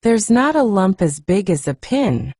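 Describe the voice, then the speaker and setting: A woman's voice: medium pitch, big pitch swings, a little slow, a little mellow, dry recording, studio quality. A woman voicing a fierce, bossy little girl who scolds sharply. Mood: scolding.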